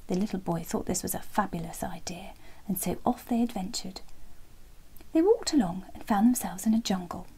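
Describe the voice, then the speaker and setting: A woman narrating a children's bedtime story with a gentle, expressive storybook delivery. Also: mid-range voice, normal speed, a little hiss or hum, poor audio.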